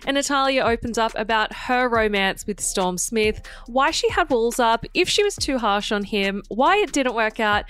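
A woman's voice: fairly high pitch, lively intonation, normal speed, hardly any pauses, a bit bright, smooth. A woman hosting a podcast, reading an upbeat intro and then interviewing her guest. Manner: upbeat, gushing. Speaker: podcast host.

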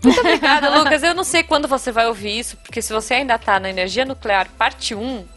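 A woman's voice: fairly high pitch, fast, fairly bright tone, soft background music. A woman hosting a podcast, reading listener emails aloud with frequent laughter. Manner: laughing often, chatty, reads emails aloud. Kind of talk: casual chat.

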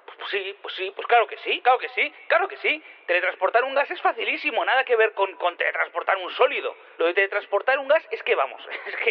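A very high-pitched, fast-talking male inventor from Spain, excitable and cocksure. He repeats himself emphatically in this audio drama, heard through a thin, tinny radio-like sound. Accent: Spanish (Spain). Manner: repetitive, emphatic, tumbling over words.

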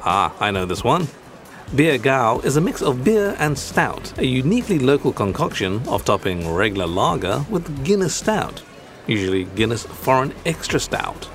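A man's voice: mid-range voice, very animated, normal speed, faint music behind. A man hosting a podcast in scripted narration, explaining things in articulate, rather formal phrasing. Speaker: podcast host.

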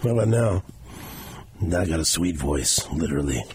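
A man's voice: low-pitched, expressive, moderate pace, noisy background. A man co-hosting a sports radio show with a sore throat, joking and teasing in casual banter. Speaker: radio co-host.

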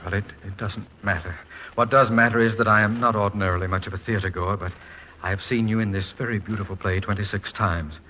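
An actor playing a shy, bookish professor, formal and wordy, in 1940s radio style. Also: male voice, fairly low pitch, fairly even intonation, quick pace, pushed and bright, slight background noise, low-quality recording. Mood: shy, earnest. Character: courteous, shy, bookish American male professor. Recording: vintage 1940s radio recording.

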